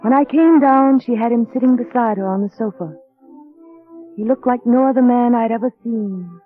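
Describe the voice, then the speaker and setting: An actress playing a timid, wistful young woman who narrates a 1930s radio drama in a dreamy, measured way. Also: fairly high pitch, faint music behind, lightly acted. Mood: reflective. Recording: thin, tinny 1930s radio broadcast.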